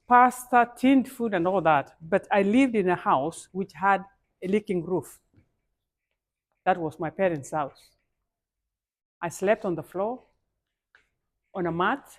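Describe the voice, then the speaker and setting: Kenyan-accented female guest speaker, mid-pitched, emphatic, talking straight to her audience in a motivational speech. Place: speaking live at a conference event.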